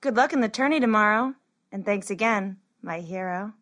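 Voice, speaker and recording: A woman voicing a shy, chatty girl who is an apprentice healer in a storybook, gentle and earnest. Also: medium pitch, normal speed, natural voice. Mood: shy, admiring.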